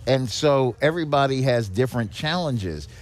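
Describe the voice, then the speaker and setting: A man's voice: mid-range voice, animated, normal speed. A man speaking as a podcast guest, explaining things in a conversational way with rhetorical questions and frequent check-ins. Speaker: guest, executive director of a seniors nonprofit.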